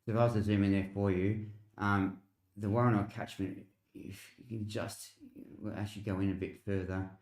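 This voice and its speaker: A low-pitched Australian man speaks in a noticeably roomy, echoing space. He hosts a live weather commentary in an informal, conversational way, explaining as he goes and answering the chat. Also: flat delivery, brisk, darkish tone. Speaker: weather livestream host.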